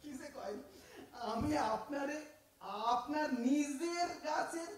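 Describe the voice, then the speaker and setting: Man voicing a sly East Bengali fruit thief, high-pitched, echoey room from far off. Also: slow pace. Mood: wheedling. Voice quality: wheedling, stammering, theatrical. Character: cheeky smooth-talking male fruit thief. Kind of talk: audio drama acting.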